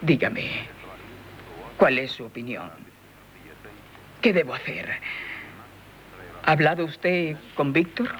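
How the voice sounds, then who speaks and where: A woman's voice: sits low, slow pace, pauses often, fairly bright tone. An actress playing an anxious, morally strict aristocratic mother in a 1970s radio drama, speaking formal, theatrical with a lamenting manner. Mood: indignant.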